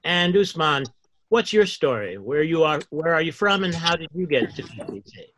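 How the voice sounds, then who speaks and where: A man's voice: on the high side, big pitch swings, normal speed, a bit bright. A man hosting a podcast, asking guests questions in a conversational interviewing style. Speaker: podcast host.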